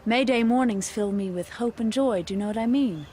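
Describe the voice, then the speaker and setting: A woman's voice: on the high side, a little fast, warm tone, soft background music, close to an everyday voice. A woman playing a devout, judgmental handmaid in an audio drama, prim and controlled in dialogue. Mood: pious. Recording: light ambient scene noise.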